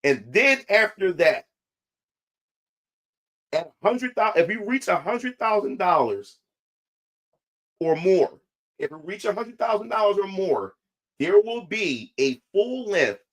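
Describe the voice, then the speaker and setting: A man's voice: high-pitched, expressive, a little slow, long pauses, fairly bright tone. Rambling man chatting to viewers. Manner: repetitive, restarts phrases often. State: voice not fully recovered. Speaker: independent comic creator. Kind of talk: casual livestream talk to viewers.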